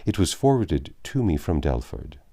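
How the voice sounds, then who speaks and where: A man voicing a grave, sorrowful middle-aged English gentleman in a classic novel, speaking formally and earnestly, with some halting. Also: a bass voice, very animated, exaggerated voice. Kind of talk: dramatic audiobook reading of classic novel.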